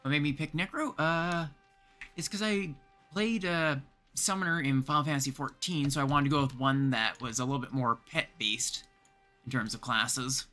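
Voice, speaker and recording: Male game livestreamer, mid-pitched and bright, relaxed conversational commentary over faint game music. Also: moderate pace. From Canada. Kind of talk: casual gameplay commentary, chatting with viewers.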